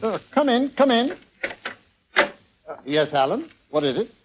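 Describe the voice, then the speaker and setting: An actor playing an eager, courteous museum curator, scholarly and hesitant, in 1940s radio drama style. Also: male voice, high-pitched, sing-song, measured pace. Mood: brisk. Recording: noisy old 1940s radio recording.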